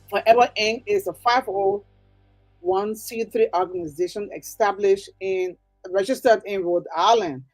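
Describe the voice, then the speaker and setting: A woman with a Liberian accent, explaining things earnestly and emphatically as an interview guest. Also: mid-range voice. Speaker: interview guest, nonprofit executive director.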